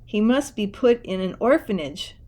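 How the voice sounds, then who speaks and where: A woman's voice: medium pitch, lively intonation, moderate pace, warm tone, close to an everyday voice. A woman reading a storybook, voicing a blustery, huffy older uncle who once hunted big game.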